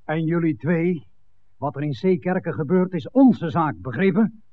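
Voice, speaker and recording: Actor as domineering, fairly high-voiced village boss. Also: male voice, a little slow, natural voice. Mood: commanding. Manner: commanding, scornful. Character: domineering, menacing village father, suspicious smuggler boss. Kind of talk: 1960s Dutch radio drama acting. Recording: narrow-band 1969 radio recording.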